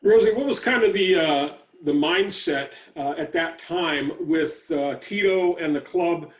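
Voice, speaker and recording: A man's voice: fairly high pitch, a little slow. Male sports podcast interviewer, upper-register voice, slightly distant pickup, feels his way through questions.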